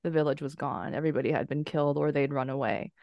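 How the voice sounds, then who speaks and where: A woman's voice: low-pitched, steady tone, fast talker, darkish tone, no echo. A woman and horror writer, searching for words at first and then telling a family story steadily in a casual roundtable chat. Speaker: podcast guest, horror writer.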